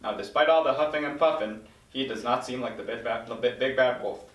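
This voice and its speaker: A man's voice: medium pitch, a little fast, fairly bright tone. A man reading stage directions as scripted narration at a table read. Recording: roomy, distant mic.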